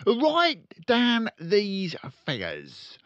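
A man voicing a cocky, crooked, bullying car-dealer father from a children's storybook, loud and emphatic. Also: high voice, huge pitch swings, extremely slow, dry recording, highs cut off, pitched above the performer's own voice, exaggerated voice. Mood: bossy.

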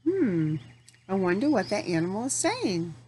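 A middle-aged woman leading a lesson for young children, asking simple questions, pausing for answers and encouraging them. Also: mid-range voice, big pitch swings, background noise. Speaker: teacher.

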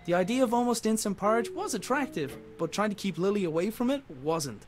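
A woman's voice: mid-range voice, animated, brisk, natural voice. A woman voicing a plucky young adventurer in a game, narrating her own mishaps with dry, self-mocking wit. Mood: wry. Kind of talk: wry storybook game narration. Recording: game audio with clear music.

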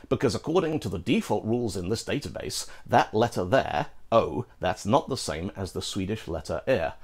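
A man from Britain walks through a programming topic in a studio, his voice sitting a bit low with a touch of huskiness. He talks with lively, gesturing energy and tosses in playful asides to the viewer. It sounds like a scripted tech explainer from a seasoned host. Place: studio in front of a green screen.

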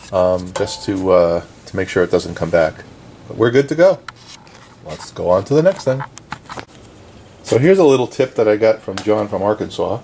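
A man giving a relaxed, chatty hands-on repair tutorial at his indoor workbench, talking to the camera while he works. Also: on the low side, a little hiss or hum. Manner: relaxed, chatty, frequent "okay?" and "um". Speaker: vintage radio restorer.

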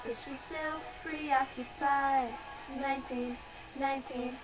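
A young woman sings along to pop-rock in a high, playful amateur voice. The words come at an unhurried pace. The recording is dull and homemade, with the music clearly audible behind. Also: steady tone. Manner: playful, giggly. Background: pop-rock song playing.

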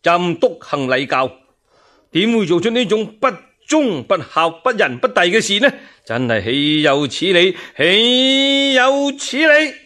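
Man acting out a blustering, high-pitched scheming emperor. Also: sing-song, a little slow, pushed and bright, exaggerated voice. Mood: indignant bluster. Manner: pompous, indignant declaiming. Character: crafty tyrannical new emperor, blustering, indignant, scheming.

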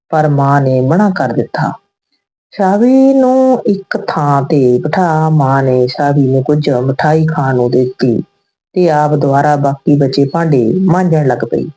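Low, mellow woman storyteller. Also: poor audio. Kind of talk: scripted narration of an emotional moral story.

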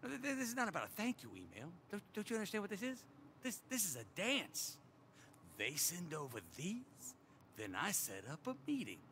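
An actor playing an eager, fussy American sports agent in a video game cutscene, wordy and stammering when worked up. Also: male voice, high-pitched, fairly quick, a little hiss or hum, heavily acted. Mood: excited, pushy. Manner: wordy, stammering, over-excitable salesman.

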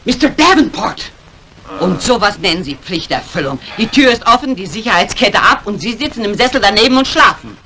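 Brisk bossy agitated woman in a 50s radio thriller, tone jumping around, sharp. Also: medium pitch, big pitch swings. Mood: scolding. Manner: brisk, scolding, urgent. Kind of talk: 1950s German radio crime drama acting. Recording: old radio play recording, faint hiss.

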